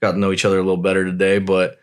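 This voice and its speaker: A man with a very low voice hosts a podcast in an easygoing, unscripted way. He talks loosely and swears freely, tossing in "man" and "dude" as he goes. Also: very fast, smooth. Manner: relaxed, profane, peppers talk with "man" and "dude". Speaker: podcast host. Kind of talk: casual conversation.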